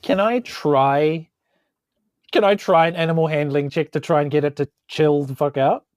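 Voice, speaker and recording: A woman's voice: sits low, normal speed, a little mellow, dry recording, clean studio sound. A woman with a New Zealand accent chats casually as a player in a tabletop role-playing game. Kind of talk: casual improvised game chat.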